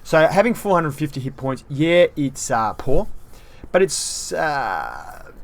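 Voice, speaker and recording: A man's voice: fairly high pitch, very animated, slow pace, vocal fry. A man giving a casual review of a game tank, running through stats in a chatty, self-deprecating way.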